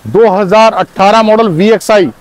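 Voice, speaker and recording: A middle-aged man, a used car dealer, giving an energetic sales pitch outdoors on a car lot, speaking in punchy bursts and calling the viewers his brothers, recorded on a lapel mic. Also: normal speed. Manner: punchy, repeats "my brothers" often.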